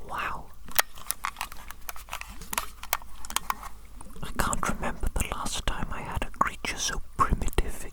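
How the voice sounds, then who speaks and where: A performer voicing an evil doctor who dotes on their creations, in a slow, breathy ASMR roleplay whisper with lingering, repeated phrases. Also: close to an everyday voice, rough recording. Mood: doting, gloating. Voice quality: breathy unvoiced whisper throughout. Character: evil mad scientist doctor, doting and sinister creator of monsters.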